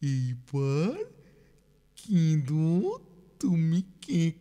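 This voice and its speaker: A man's voice: on the high side, drawn-out words, mellow, clear, resonant tone, long reverb tail. A man voicing a sly, scheming boy prankster in a children's cartoon, muttering his plans in an exaggerated way. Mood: sly, musing.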